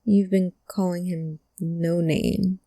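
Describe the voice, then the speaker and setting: Woman playing a slow, mellow, wounded loyal friend. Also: on the low side, steady tone, no echo, studio quality. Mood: guarded, wistful. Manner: halting, emotional, emphatic. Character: guarded, grieving woman loyal to her missing childhood friend. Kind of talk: cyberpunk audio drama acting.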